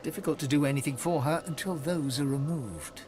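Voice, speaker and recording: A man playing a calm storyteller who narrates a fantasy video game in a measured, descriptive way. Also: on the high side, over music, lightly acted. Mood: calm, hushed. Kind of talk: scripted narration.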